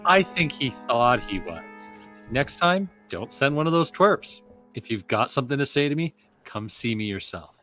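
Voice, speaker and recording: A man plays a cocky smuggler pilot in a space adventure table read. His delivery is wry and casual, with lively swings in pitch and a bright tone. Faint music runs behind him. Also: moderate pace. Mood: defiant. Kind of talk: amateur table read of a film script.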